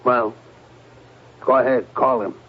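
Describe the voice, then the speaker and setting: An actor in a 1970s radio drama playing a middle-aged intelligence chief, measured, persuasive and authoritative. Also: male voice, mid-range voice, fairly even intonation, breathy edge. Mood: puzzled. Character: firm, placating middle-aged intelligence boss. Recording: dull, hissy old radio broadcast.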